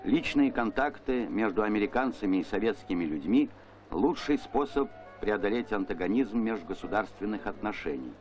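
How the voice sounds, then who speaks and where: A man's voice: on the low side, animated, normal speed, a little mellow. A man delivering scripted documentary narration on an old film soundtrack. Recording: dull vintage film soundtrack with music. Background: music.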